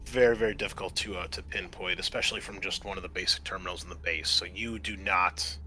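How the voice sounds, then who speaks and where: A man runs a tabletop roleplaying game in a forceful, slightly low voice. He explains rules and scenes conversationally, as game master, over faint background noise. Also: fairly even intonation, quick pace.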